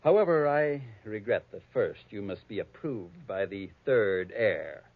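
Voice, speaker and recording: An actor playing a prim, conniving, avaricious heir, speaking formally and precisely in 1940s radio style. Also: male voice, fairly low pitch, lively intonation, unhurried, no echo. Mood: precise, superior. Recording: thin, phone-like old radio recording.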